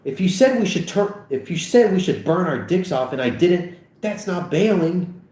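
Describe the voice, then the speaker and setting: A fed-up teenage boy acted by a man at a script reading, indignant and sarcastic. His pitch sits well above a grown man's talk and jumps around a lot. The sound is roomy and echoey. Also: big pitch swings, slow, dark tone. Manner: indignant, cutting. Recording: echoey room.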